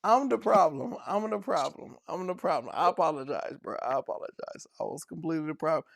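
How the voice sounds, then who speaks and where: A man with a gravelly, fry-edged voice cracks dad jokes on a casual sports podcast, chuckling at his own punchlines. His voice is recorded close and dry, with no room around it. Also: a little high, animated, a little fast, dry recording. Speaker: podcast co-host.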